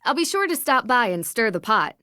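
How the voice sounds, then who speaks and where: A woman playing a friendly, direct young amateur sleuth in a video game, polite, even and inquisitive. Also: fairly high pitch, a little fast, dry recording, clean studio sound. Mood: earnest.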